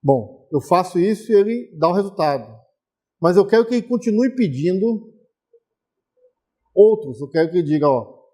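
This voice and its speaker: A man's voice: a little high, darkish tone. A man teaching programming in a recorded distance-learning lesson, explaining step by step and often checking in with viewers. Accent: Brazilian Portuguese.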